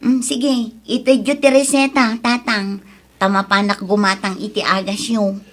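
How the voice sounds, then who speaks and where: A woman's voice: medium pitch, little pitch movement, normal speed, close to an everyday voice. An actress playing an earnest, dutiful young Ilocano woman in a radio drama, speaking expressively. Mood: gentle, dutiful. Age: young adult.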